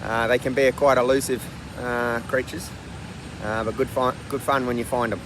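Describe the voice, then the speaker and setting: Croaky Australian man, noisy outdoor recording. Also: mid-range voice, flat delivery, normal speed. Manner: laid-back, slangy. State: getting over a flu. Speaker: outdoor adventure vlogger. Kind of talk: casual chat to camera. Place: outdoors and in a vehicle on the road. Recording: noisy outdoor and vehicle background.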